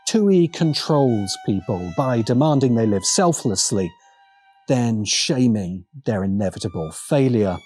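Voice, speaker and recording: A man narrating a scripted video essay as its host, with sardonic, emphatic and deliberate phrasing. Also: medium pitch, darkish tone, breathy edge. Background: faint background music.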